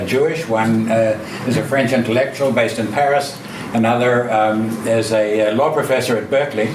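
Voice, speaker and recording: Man lecturing in a roomy hall. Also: mid-range voice. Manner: measured, frequent uh fillers and restarts. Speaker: guest speaker, sociologist. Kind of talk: academic lecture. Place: lecture room. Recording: roomy, distant, noisy hall.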